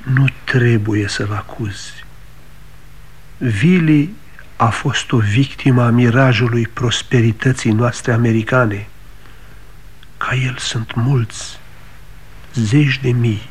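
An actor playing a sober middle-aged neighbor in a 1960s radio drama, speaking in a measured, calm way. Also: male voice, medium pitch, slight background noise, lightly acted. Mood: consoling.

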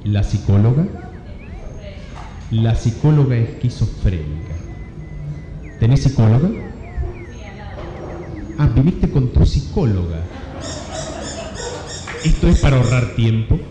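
A man's voice: medium pitch, very animated, slow, long pauses. A man presenting improvised comic radio theatre as host. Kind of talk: stage comedy presenting. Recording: echoey hall with music.

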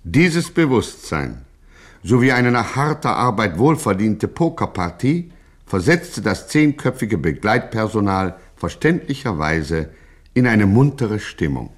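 A man's voice: on the low side, sing-song, measured pace. A man narrating a satirical radio drama in a wry, theatrical, mock-epic style.

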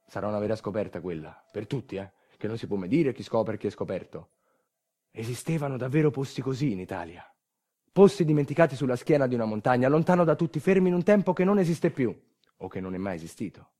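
A man's voice: medium pitch, lively intonation, fast talker, dark tone. A man performing a theatrical narrative monologue in a wry, rhetorical manner, with colloquial asides and refrains.

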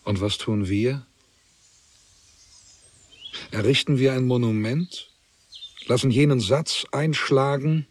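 A man acting as a pensive narrator in a literary radio drama, deliberate and questioning. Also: mid-range voice, slight background noise, natural voice. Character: pensive male narrator weighing a dead man's story.

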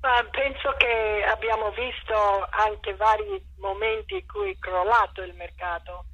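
A woman's voice: mid-range voice, expressive, unhurried, a bit bright, slightly rough, lo-fi sound. A woman answering interview questions, explaining ideas in an unscripted, hesitant way and searching for her words. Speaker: interviewed author and thinker.

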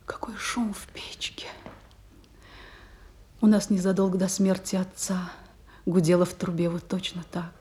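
A married woman in a Russian stage drama, her voice extremely husky and breathy. She is uneasy and superstitious, speaking in hushed half-whispers as she yields to forbidden love. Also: lowish voice, steady tone, measured pace, long pauses.

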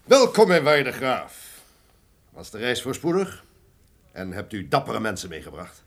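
An actor playing a proud, menacing and passionate duke in a radio drama, speaking in a pompous, formal, commanding way. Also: male voice, medium pitch, wildly swooping pitch, measured pace, full character voice. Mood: brooding. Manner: pompous, commanding, formal old-fashioned speech. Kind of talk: theatrical radio drama acting.

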